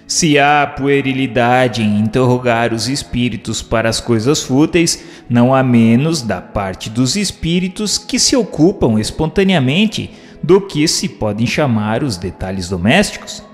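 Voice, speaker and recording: A man's voice: mid-range voice, lively intonation, unhurried, few pauses, long reverb tail. A man narrating scripted book commentary in a formal, didactic manner. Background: faint music.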